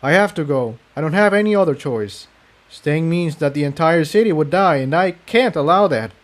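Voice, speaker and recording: A man acts out a resolute young male pony in a fantasy storybook. He is earnest and self-sacrificing, his pitch raised. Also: animated, moderate pace, natural voice.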